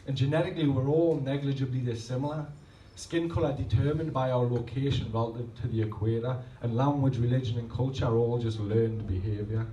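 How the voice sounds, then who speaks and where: A man's voice: mid-range voice, fairly even intonation, brisk, hardly any pauses, dark tone, a little hiss or hum. Man. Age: 30s. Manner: rhythmic, rhymed delivery between rambling, self-deprecating asides. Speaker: spoken word poet. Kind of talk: poetry reading with chatty asides. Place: pub function room at a poetry night. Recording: roomy, distant live recording, faint ambience.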